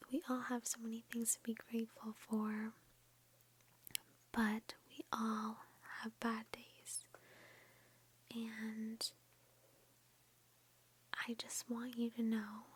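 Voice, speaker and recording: A woman whispering a guided ASMR meditation in a breathy, gentle and reassuring way, close to the microphone. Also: on the high side. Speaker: ASMR host. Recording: lo-fi with background noise and clicks.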